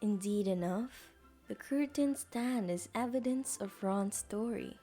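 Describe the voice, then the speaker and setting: Mellow lady narrating a story, takes her time, bit of music behind. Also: mid-range voice, measured pace.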